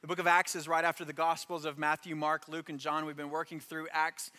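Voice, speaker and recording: A pastor delivers a sermon with earnest emphasis, stacking phrases for weight. His voice is bright and forceful, a little higher than most men's. A long reverb trails him through a large hall. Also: little pitch movement, a little fast.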